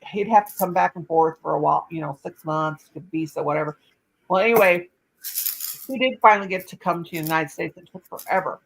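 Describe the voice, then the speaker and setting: Older woman host, casual laptop chat, rambling storyteller, lowish voice. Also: a little fast, vocal fry, low-quality recording. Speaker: channel host.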